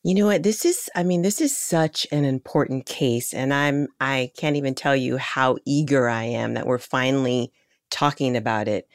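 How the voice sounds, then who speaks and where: Woman, raspy, very low voice, co-host, dry studio sound. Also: normal speed, no room sound. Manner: eager, playful banter. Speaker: podcast co-host. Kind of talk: casual chat.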